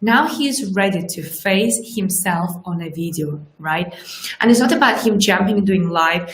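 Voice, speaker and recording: Animated Russian-native woman coaching in an echoey room. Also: medium pitch, normal speed. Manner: lively, animated, keeps asking "right?". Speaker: life coach. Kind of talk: live-streamed motivational talk. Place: small indoor room with curtains. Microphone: webcam at desk distance. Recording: roomy, echoey webcam audio.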